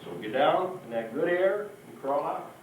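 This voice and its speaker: A man's voice: fairly high pitch, animated, normal speed. A man giving an informal, plain-spoken fire safety talk to children, with emphatic delivery. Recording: echoey hall, distant, faint noise.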